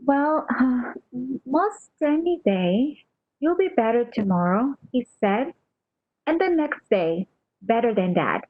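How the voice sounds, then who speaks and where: A woman acting in a radio play as a faithful nurse, gentle, deferential and reassuring, on a slightly dull recording. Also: fairly high pitch, very animated, slow, no echo, full character voice. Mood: evasive, reassuring. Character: faithful, soothing, deferential female nurse hiding bad news.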